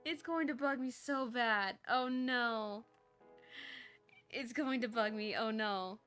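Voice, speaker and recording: A woman livestreamer chatting and reading aloud casually, expressive and exclamatory, often interrupting herself. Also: a little high, slow, clean tone, faint music behind. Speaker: streamer reading fanfiction aloud.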